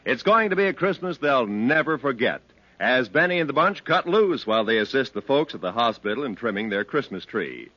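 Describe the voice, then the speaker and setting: Bright, animated male radio announcer. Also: mid-range voice, a little fast. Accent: American. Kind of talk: 1950s scripted radio promo. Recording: dull vintage radio broadcast.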